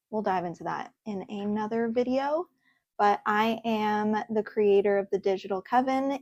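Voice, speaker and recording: A young woman talks to her viewers from her desk at home in a relaxed reaction chat. Her pitch sits in the middle, and she comes across earnest and chatty. She pauses often and fills the gaps with ums. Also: no echo, clean studio sound. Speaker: host. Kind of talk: casual reaction video, reading posts aloud.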